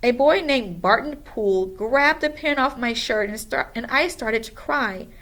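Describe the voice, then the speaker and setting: Slow, higher-pitched woman reading a storybook. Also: a bit bright. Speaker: school administrator reading aloud. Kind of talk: children's storybook read-aloud.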